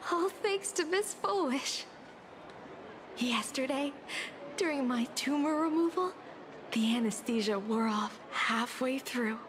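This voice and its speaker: A woman's voice: sits high, lively intonation, slow. An actress voicing an eerily grateful woman in a video game, dreamy and unsettlingly content, over noisy ambience. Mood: eerie contentment. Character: eerily grateful female wish-seeker.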